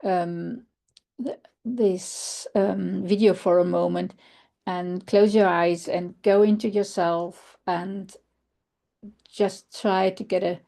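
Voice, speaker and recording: A woman with a German accent, a non-native English speaker, giving an online conference presentation in a halting, thoughtful way, with many fillers and restarts. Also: mid-range voice, steady tone, creaky, mellow. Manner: thoughtful, searching for words. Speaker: researcher presenting.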